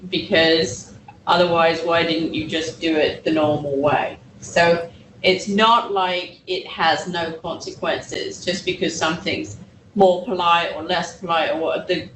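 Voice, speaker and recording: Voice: medium pitch, a bit bright, a little hiss or hum. A co-lecturer adding comments in a classroom, explaining in a wry way. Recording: roomy, distant from mic.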